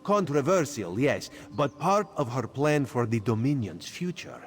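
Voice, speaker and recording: A man's voice: medium pitch, expressive, measured pace. An actor playing a sly, playful cat-man spy in a video game who refers to himself in the third person, over faint game music. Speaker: spymaster quest giver. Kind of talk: video game character dialogue.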